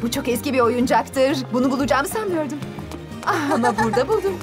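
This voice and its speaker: A woman playing a gentle, expressive young mother in a children's cartoon dub. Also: high voice, brisk, over music, lightly acted. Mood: delighted. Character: gentle young mother, delighted by old toys.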